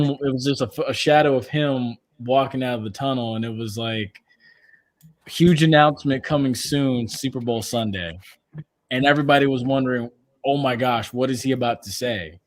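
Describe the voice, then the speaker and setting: A man in his twenties hosting a casual sports podcast chat, telling stories in an animated way. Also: medium pitch, moderate pace. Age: 20s. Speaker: podcast host.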